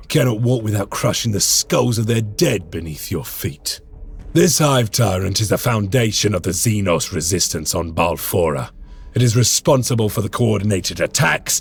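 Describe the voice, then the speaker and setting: An actor playing a stern veteran space marine lieutenant in a game cutscene, grave and solemn. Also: male voice, fairly low pitch, vocal fry. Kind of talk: video game cutscene acting. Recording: game audio over music.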